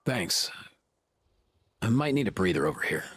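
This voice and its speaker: A man playing a troubled, laid-back vet in a video game, with a husky voice. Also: deep voice, sing-song, normal speed, a bit bright, no room sound, exaggerated voice. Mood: shaken, pensive. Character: troubled male vet, laid-back, shaken by his ancestry.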